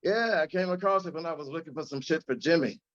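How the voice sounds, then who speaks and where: A man's voice: a little high, fast talker, natural voice. A man reading the part of a cocky, bantering ladies' man who teases his buddy in a script read. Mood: teasing, breezy. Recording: dull lo-fi sound.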